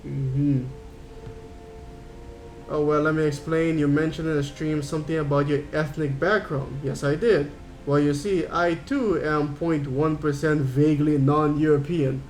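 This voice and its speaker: A man's voice: on the high side, fairly even intonation, measured pace, darkish tone, faint music behind. A man from Curaçao streaming a game, chatting casually and answering his chat in a rambling way. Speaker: gaming streamer.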